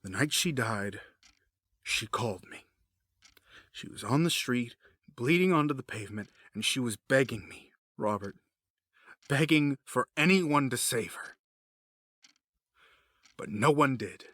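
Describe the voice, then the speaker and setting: Man playing a cold, sharp-edged obsessed villain. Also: mid-range voice, animated, unhurried, pauses often, no echo. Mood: bitter, menacing. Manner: menacing, self-justifying, sardonic. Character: cold, obsessed male villain, a grieving widower running experiments. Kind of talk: dramatic audio drama acting.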